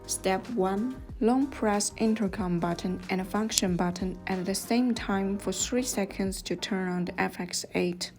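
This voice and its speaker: A woman reading scripted step-by-step instructions as a tutorial narrator, in a measured, neutral manner. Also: mid-range voice. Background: music.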